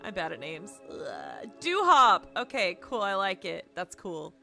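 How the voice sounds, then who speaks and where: A woman livestreaming a visual novel, switching between playful, chatty asides and expressive read-aloud narration. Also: on the low side, a little slow, creaky. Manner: playful, chatty, self-deprecating. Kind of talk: casual stream chat mixed with expressive read-aloud narration. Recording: music playing behind the voice. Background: game music.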